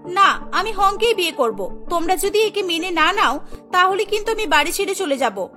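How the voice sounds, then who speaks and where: A woman as a high, insistent young wife. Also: very animated, normal speed, sharp tone, soft background music, full character voice. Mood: defiant. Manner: pleading, insistent. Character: young wife, headstrong protective daughter. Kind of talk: cartoon story dubbing.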